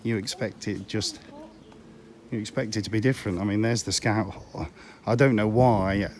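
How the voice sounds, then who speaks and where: A middle-aged man with a hoarse voice from a cold, rambling casually while giving a walking tour. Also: on the low side, fairly even intonation, normal speed, a little mellow, a little hiss or hum. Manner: rambling, chatty, with frequent ums. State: hoarse, losing his voice from a cold. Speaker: vlogger touring filming locations. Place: outdoors on small town streets.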